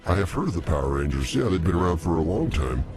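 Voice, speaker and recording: Male comedy sidekick, deep pitched-down voice, animated and goofy, loud music underneath. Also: quick pace. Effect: pitch-shifted down. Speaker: comedy show co-host. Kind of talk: casual joking banter.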